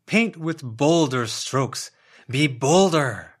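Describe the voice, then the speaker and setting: A man's voice: on the high side, lively intonation, takes it slowly, husky, pitched above the performer's own voice, heavily acted. Dreamy, theatrical eccentric young man, acted by a male reader. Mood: intense, rapturous. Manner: theatrical, intense, poetic. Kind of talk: audiobook character voice.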